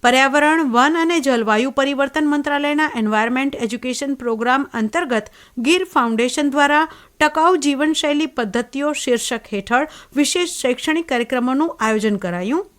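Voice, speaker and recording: High-pitched woman reading radio news. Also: fairly quick, fairly bright tone. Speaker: newsreader. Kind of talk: scripted news reading of headlines.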